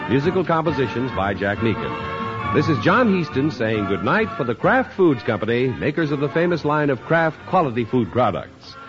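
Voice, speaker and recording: Lively male radio announcer from the 1950s. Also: medium pitch, normal speed, over music. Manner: polished, emphatic. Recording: dull lo-fi 1950s radio broadcast.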